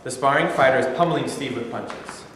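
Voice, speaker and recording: A man reads stage directions in a plain, measured voice. The big rehearsal room around him is very echoey, with a long reverb tail. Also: medium pitch, a bit bright, a little hiss or hum. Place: large rehearsal studio. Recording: echoey studio.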